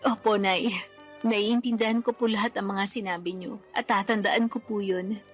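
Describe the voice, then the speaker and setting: A woman's voice: mid-range voice, steady tone, moderate pace, faint music behind, close to an everyday voice. A young woman playing a daughter and young mother in a radio drama, polite, earnest and pleading. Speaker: daughter character.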